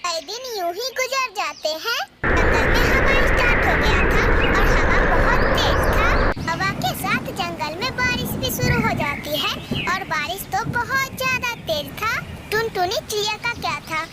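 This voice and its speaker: A woman's voice: extremely high pitch, animated, moderate pace. A woman storyteller reading a scripted narration for a children's cartoon story. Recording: very noisy track.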